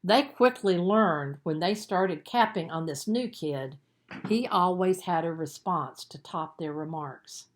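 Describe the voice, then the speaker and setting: A woman narrating a children's book as its author, in an expressive storytelling manner with deliberate emphasis. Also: lowish voice. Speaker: author reading own book.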